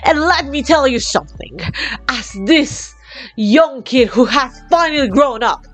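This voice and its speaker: A woman's voice: measured pace, soft background music. Bubbly Latin American woman vlogger. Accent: Latin American Spanish. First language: Spanish. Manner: bubbly and excitable, laughs often, lively swings in pitch. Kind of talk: casual chat to camera.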